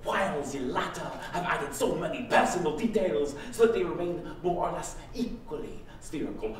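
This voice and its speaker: A man playing an eccentric French playwright, giving a pompous, halting curtain speech in a live theatrical performance. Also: sits high. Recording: very echoey room, distant mic, faint noise.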